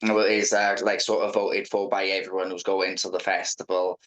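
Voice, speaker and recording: A man doing a casual interview, speaking in a halting, informal way. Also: sits low, level tone, fairly bright tone.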